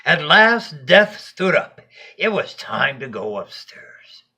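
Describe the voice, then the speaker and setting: A man tells a bedtime story with a husky voice pitched on the high side. His delivery is unhurried and dramatic, with wide swings in pitch. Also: sing-song, pushed and bright. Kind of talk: expressive bedtime story narration.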